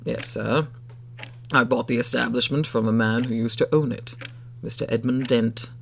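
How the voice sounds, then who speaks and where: A man voicing a tall, mid-thirties shopkeeper in a storybook, soft and authoritative, formal, measured and quietly menacing. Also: mid-range voice, steady tone, creaky, slight background noise. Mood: smooth, patient.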